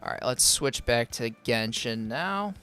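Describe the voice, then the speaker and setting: A man's voice: medium pitch, animated, unhurried, sharp tone, smooth, soft background music. A man live-streaming a game, giving casual advice and answering chat in a rambling way full of fillers and restarts. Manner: answers viewer chat between gameplay. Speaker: streamer reviewing viewer game accounts.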